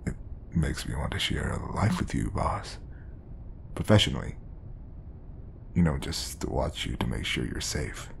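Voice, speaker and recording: A man's voice: expressive, measured pace, pauses often, slight background noise, exaggerated voice. A man playing an obsessive, lovestruck bodyguard in an audio roleplay, coaxing and intimate, passing devotion off as professionalism. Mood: infatuated. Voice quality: low, creaky. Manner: coaxing, intimate, slipping into whispers. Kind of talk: audio roleplay acting addressed to the listener.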